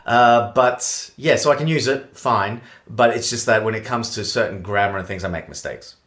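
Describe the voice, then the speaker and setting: A man's voice: on the low side, normal speed, a bit bright. A man from Australia co-hosting a casual comedy podcast, teasing and jokey.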